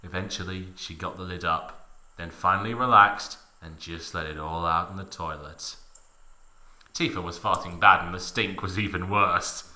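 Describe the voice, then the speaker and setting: A man's voice: low voice, normal speed. A man hosting a mock-dramatic story reading, narrating theatrically and breaking off for joking asides. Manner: theatrical, animated. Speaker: host, story reader. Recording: echoey room.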